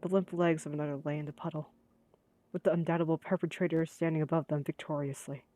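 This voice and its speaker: A woman's voice: low-pitched, level tone, fast, warm tone. A woman reading visual novel narration aloud during a voiced playthrough. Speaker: gaming video creator reading aloud.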